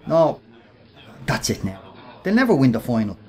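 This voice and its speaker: A man's voice: medium pitch, wildly swooping pitch, normal speed, a little mellow. An actor with a Dublin accent playing a brash, bullying working-class man in a radio play, cocky and needling in his slangy talk. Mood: boisterous banter. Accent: Irish, Dublin. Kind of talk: radio play acting in colloquial dialogue.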